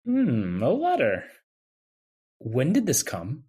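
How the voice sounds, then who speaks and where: An actor playing a guarded, brooding young intern doctor in an old-time radio drama. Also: male voice, medium pitch, huge pitch swings, takes it slowly, dark tone, slightly rough, exaggerated voice.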